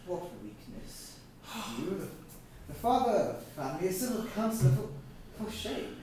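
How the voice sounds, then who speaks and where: A man's voice: high-pitched, sing-song, moderate pace, a little mellow. An actor playing an incredulous male friend who questions and scolds with disbelief. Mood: scolding. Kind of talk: theatrical stage acting. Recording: echoey hall from far off, ambient noise.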